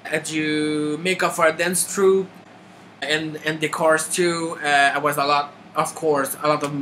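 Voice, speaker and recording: Quebec man, thirties, bright crisp voice, stop-start delivery, faint hiss behind. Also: on the high side, level tone, poor audio. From Quebec, Canada. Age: 30s. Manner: halting, many restarts and filler. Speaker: makeup artist auditioning. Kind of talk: casual self-introduction to camera.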